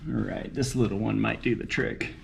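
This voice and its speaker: A man's voice: fairly low pitch, steady tone, moderate pace, vocal fry. A man talking casually to himself as he works on a car, thinking aloud as a home mechanic video host, with noise behind him. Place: working at a car, single uncut recording.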